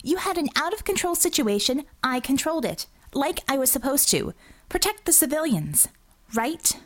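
A woman's voice: sits high, sing-song, moderate pace, pitched above the performer's own voice. A woman voicing a defiant, sarcastic young female healer in an audiobook, arguing in a flippant, clipped way. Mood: exasperated. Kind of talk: audiobook character dialogue.

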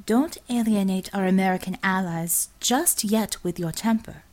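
A woman's voice: medium pitch, normal speed, darkish tone, close to an everyday voice. Reader lady as classy doctor woman, stern, scolding, very precise, audiobook style. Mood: gently scolding.

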